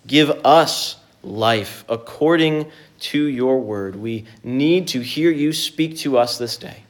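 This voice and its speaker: A man preaching a sermon, reading scripture, praying and then teaching in an earnest, measured way with pauses. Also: mid-range voice. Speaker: pastor.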